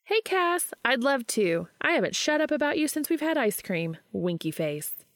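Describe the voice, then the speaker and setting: Woman acting a bubbly high-pitched teasing young friend. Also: sing-song, normal speed, dry recording, clean studio sound. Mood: gleeful teasing. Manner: breezy, gleeful, teasing.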